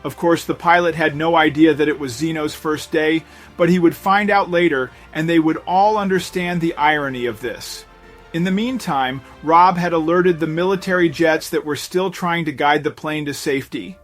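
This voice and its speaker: A man narrating a scripted dramatic story in a measured, suspenseful way. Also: a little high. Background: background music.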